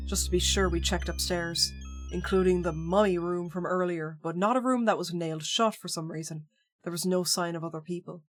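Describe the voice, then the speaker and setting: A woman's voice: fairly low pitch, steady tone, fairly quick, soft background music. A woman streamer narrating a visual novel and chatting aloud between lines. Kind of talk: game stream commentary and narration.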